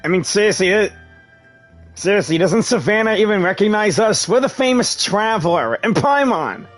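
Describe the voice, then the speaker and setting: A man's voice: sits high, animated, normal speed, no room sound. A man live-streaming a game, casually reacting and reading dialogue aloud as he plays. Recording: faint game music behind.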